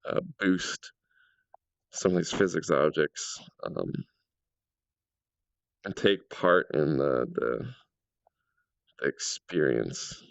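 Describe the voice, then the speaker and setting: A man with a cold and a slightly raspy voice, casually commenting as he tests his game world and thinks aloud with fillers. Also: extremely low pitch, animated, takes it slowly, long pauses, no room sound, dull audio. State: sick and a little raspy.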